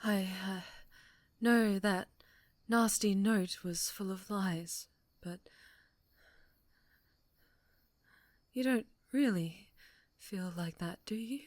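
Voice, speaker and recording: A woman playing an obsessive, wounded superhero in a dramatic ASMR roleplay, halting and sweetly menacing, slipping into whispers. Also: medium pitch, dry recording, clean studio sound, close to an everyday voice. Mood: bitter pleading.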